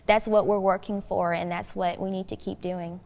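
A woman's voice: mid-range voice, flat delivery, moderate pace, gravelly, darkish tone. A young woman talks as a podcast guest in an earnest, conversational way. She leans on key phrases and stretches them out. Her voice carries a long reverb, as if recorded in a hall. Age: young adult.

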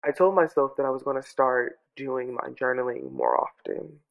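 A man with a stuffy, congested voice talks softly and earnestly at home, musing in loops and often restarting his thoughts. His tone has a gravelly fry at the edges and sits in a middle range. The recording through his home microphone sounds a bit dull and lo-fi. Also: medium pitch, huge pitch swings, moderate pace. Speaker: podcast host journaling aloud. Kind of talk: reflective personal audio journal. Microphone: own studio microphone.

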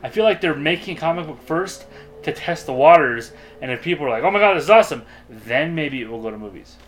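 A man's voice: a little high, expressive, fast talker, low-quality recording. A man chatting casually as a podcast co-host, opinionated and emphatic in an exasperated way. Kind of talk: casual opinionated group chat.

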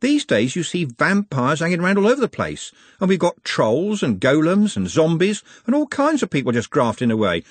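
A man's voice: fairly high pitch, wildly swooping pitch, normal speed, hardly any pauses, slightly rough, no echo, pitched above the performer's own voice, exaggerated voice. A man voicing a casual, dismissive young man in a storybook, slangy and breezy.

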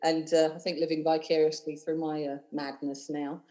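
London lady in her 30s, lowish voice, gushing and rambly, lots of ums. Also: level tone, moderate pace, warm tone. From London, England. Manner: chatty and effusive, rambling with many fillers. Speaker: cabaret host and singer, guest. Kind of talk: casual interview chat.

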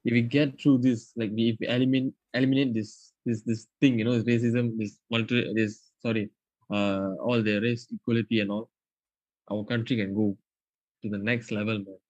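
Young man, Malaysian English accent, podcast guest, halting, lots of restarts, dry slightly dull audio. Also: on the low side, flat delivery, a little mellow, no echo. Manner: halting, many restarts and fillers. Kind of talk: casual podcast chat.

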